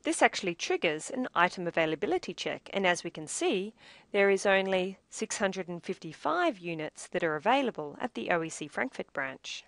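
A woman presenting a software demo as scripted instructional narration, measured and explanatory. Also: mid-range voice, sing-song, creaky, no echo.

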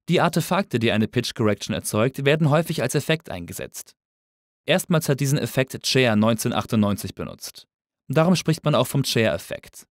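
A man narrating a scripted tutorial as an instructor, explaining things clearly and methodically. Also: mid-range voice, no room sound, clean studio sound. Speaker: audio engineering instructor.

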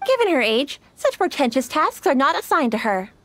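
A woman's voice: high voice, big pitch swings, a bit bright, dry recording. A woman voicing a polite, dutiful young shrine maiden who speaks formally. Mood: composed. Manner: formal, courteous, measured. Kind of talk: game voice acting.

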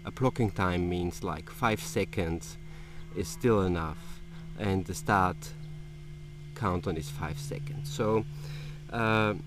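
Man in his forties, lowish voice, halting explanations, action cam at arm's length, outdoor noise behind. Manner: halting, with frequent restarts and fillers. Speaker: drone racing hobbyist. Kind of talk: casual explanatory vlog. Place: outdoor airfield racetrack. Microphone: action camera held at arm's length. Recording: outdoor wind and vehicle noise.

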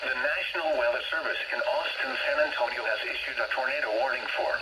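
A man's voice: mid-range voice, quick pace, reverberant, far from the mic. A synthetic man's voice reading a formal, impersonal scripted emergency weather warning over a weather radio broadcast. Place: played through weather radio and TV in a room, filmed. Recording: noisy radio and TV speaker recording.

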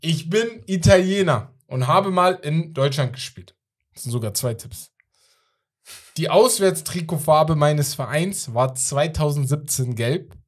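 A man's voice: a little high, lively intonation, a little slow. A young man bantering casually and giving out clues in a guessing game. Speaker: quiz player giving clues. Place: small indoor podcast studio. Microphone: studio microphone on desk arm.

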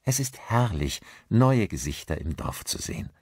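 A man's voice: fairly low pitch, very animated, normal speed, a little mellow, breathy edge, dry recording, studio quality, voice pushed up, full character voice. A man voicing a friendly, hospitable village woman in an audiobook, chatty and emphatic. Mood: welcoming.

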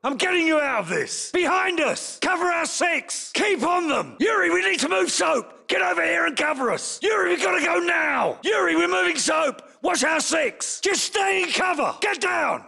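An actor voicing a gruff British special forces captain in a video game, giving clipped, commanding orders in a gravelly voice. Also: male voice, very high-pitched, lively intonation, normal speed, few pauses, sharp tone, raised voice, full character voice. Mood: urgent, shouting. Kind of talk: video game battle chatter.

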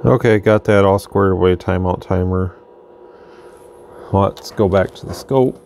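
Male demonstrator, low and mellow, off-the-cuff delivery, audible noise behind him. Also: fairly even intonation, unhurried, creaky. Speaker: radio product demonstrator. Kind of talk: casual unscripted hands-on demo narration. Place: indoor electronics workbench. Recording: noticeable background noise.

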